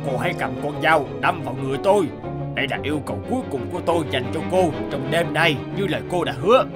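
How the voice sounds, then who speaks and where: A man voicing a calm, insistent enchanted frog in a cartoon fairy tale, measured, courteous and commanding. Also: fairly high pitch, over loud music, natural voice.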